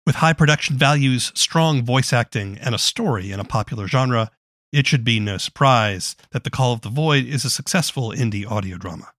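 A man's voice: mid-range voice, lively intonation, moderate pace, fairly bright tone, no echo, clean studio sound. A man hosting a podcast, speaking as an interviewer and announcer. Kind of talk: interview and scripted outro narration.

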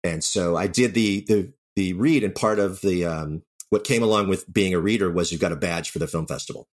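A man with a deep voice talks through his experiences as an interview guest. He is candid and self-deprecating, speaking conversationally. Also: brisk. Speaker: writer and audio drama director, interview guest.

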